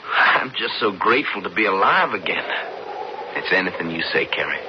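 An actor playing an earnest, grateful young cowboy in a radio western, with an American accent and folksy, sincere phrasing. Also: male voice, deep voice, animated, normal speed. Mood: awed, thankful. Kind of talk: radio drama acting. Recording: muffled, noisy old radio broadcast.

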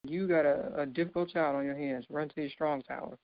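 A woman in her thirties with a deep, low voice, giving an informal, earnest devotional sermon and laughing lightly between points. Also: fairly even intonation, fairly quick, muffled recording. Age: 30s. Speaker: speaker giving a devotional talk.

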